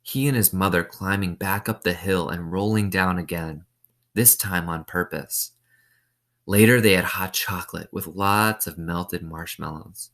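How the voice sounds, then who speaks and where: Male storyteller, low register, reads a children's novel with simple explanatory asides and questions. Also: a bit bright.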